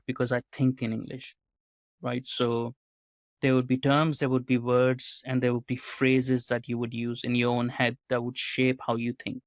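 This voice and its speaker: A man's voice: medium pitch, dry recording. Male podcast guest, earnest and conversational, dry close sound, habit of tagging "right?". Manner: earnest, often ends points with a questioning "right?". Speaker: podcast guest, writer and commentator.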